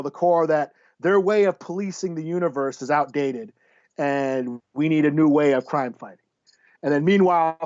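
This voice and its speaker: A man reviews comics in a casual, rambling way. He swears freely and pauses to search for names. The recording is dry and a bit dull. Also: fairly high pitch, no room sound. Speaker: podcast host. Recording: slightly dull, dry lo-fi audio.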